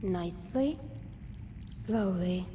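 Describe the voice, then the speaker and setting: A woman playing a guarded, wary young scavenger in a video game, speaking in a measured, dry way. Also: fairly low pitch. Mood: cold, threatening. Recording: long reverb, clear background noise.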